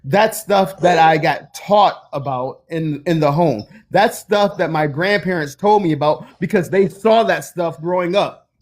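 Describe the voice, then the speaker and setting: High-voiced fifty-something man, earnest and emphatic. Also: measured pace. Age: 50s. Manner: earnest, emphatic, preaching cadence. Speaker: guest on a livestream. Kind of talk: impassioned political livestream talk.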